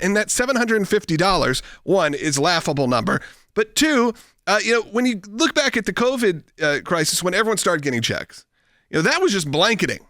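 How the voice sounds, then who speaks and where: An American man delivers political commentary in a conversational way. His voice sits high for a man, and the sound is dry, with very little room in it. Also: fairly bright tone, no echo. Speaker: talk show host.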